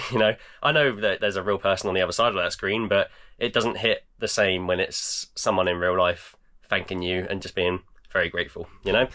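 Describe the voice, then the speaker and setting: Tired croaky guy in his 30s rambling in his garage, low voice, kinda sharp tone. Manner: tired, rambling with many fillers. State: croaky, voice almost gone. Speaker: eBay reseller vlogger. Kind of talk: casual vlog chat. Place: home garage.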